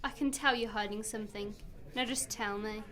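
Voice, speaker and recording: A woman's voice: medium pitch, fairly even intonation, normal speed, pushed and bright, lightly acted. A woman in an amateur radio play acting a suspicious, exasperated wife, accusing and sarcastic. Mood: angry, reproachful.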